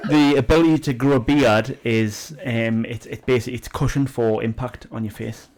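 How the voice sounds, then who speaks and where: A man's voice: medium pitch, fairly even intonation, normal speed, darkish tone. A young man co-hosting a casual podcast, sarcastic and mocking, with frequent swearing. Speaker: podcast co-host. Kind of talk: casual banter among friends.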